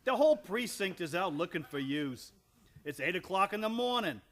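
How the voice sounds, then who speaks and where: An actor playing a bossy, impatient police lieutenant in an old-time radio comedy, with rough colloquial talk. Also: male voice, sits high, lively intonation, normal speed, fairly bright tone. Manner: bossy, colloquial, says "yous". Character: brash, gruff-mannered, impatient police lieutenant.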